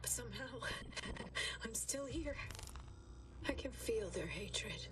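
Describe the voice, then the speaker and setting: An actress playing an exhausted, haunted woman scientist confiding in a halting, intimate audio diary for a video game. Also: fairly high pitch, expressive, distant mic, noisy background. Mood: haunted, desperate.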